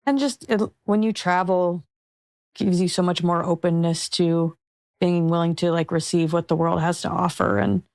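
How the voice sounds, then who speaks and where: Gravelly, low-voiced podcast host woman. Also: steady tone, moderate pace, a little mellow, dry recording, clean studio sound. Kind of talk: casual interview conversation.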